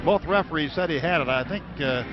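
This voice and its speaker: A man's voice: on the high side, quick pace, over music. A man doing live play-by-play football commentary as a radio announcer. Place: stadium broadcast booth. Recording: noisy, dull radio broadcast recording.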